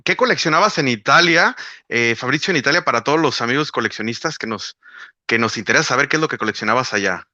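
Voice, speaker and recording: A man's voice: medium pitch, animated, fast talker, pushed and bright, no room sound, muffled recording. A man from Mexico hosting an online interview, upbeat and full of exclamations. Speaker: host. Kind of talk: animated interview host.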